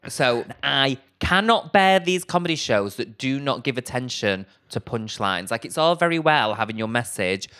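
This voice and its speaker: A man's voice: mid-range voice, fairly bright tone, smooth. A man, a stand-up comedian, chatting in a radio interview, camp and self-mocking, cracking jokes as he goes.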